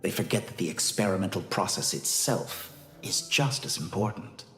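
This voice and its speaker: An actor playing an arrogant, calm mad scientist villain in a video game, speaking in a pompous, deliberate, theatrical way. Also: male voice, low voice, roomy sound, soft background music. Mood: smug, lecturing.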